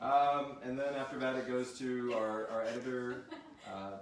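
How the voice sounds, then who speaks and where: A man's voice: mid-range voice, flat delivery, moderate pace, a little hiss or hum, rough recording. Easygoing man hosting a casual group chat. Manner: informal, rambling, sweary, easily amused. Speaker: host of a live question-and-answer special for an audio drama. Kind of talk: casual chat, reading out listener questions. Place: live stream with a group of castmates in the room.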